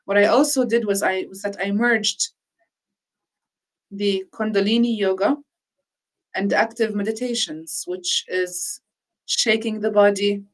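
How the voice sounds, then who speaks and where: Earnest woman speaking in measured phrases. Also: medium pitch, steady tone, low-quality recording. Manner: measured, earnest, with frequent restarts. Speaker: interviewee on healing practice. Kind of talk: reflective conversational talk.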